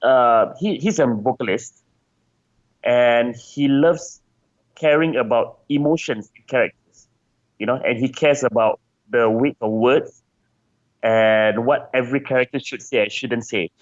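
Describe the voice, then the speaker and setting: A man's voice: mid-range voice, measured pace, muffled recording. A man being interviewed as a game designer, talking casually and chattily, often repeating words as he searches for phrasing.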